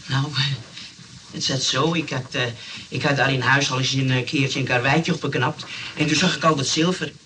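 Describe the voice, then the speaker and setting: An actor playing a pleading, chatty, self-pitying burglar in a comic radio play, with a Netherlands Dutch accent and a polite, hesitant way of talking. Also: male voice, mid-range voice, fairly even intonation, moderate pace, pushed and bright, lightly acted. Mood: explaining. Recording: distant, noisy old radio recording.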